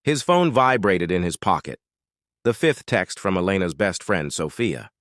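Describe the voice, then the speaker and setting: A man narrating a scripted dramatic story in a measured, dramatic way. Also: low-pitched, very animated, a bit bright, no echo, clean studio sound.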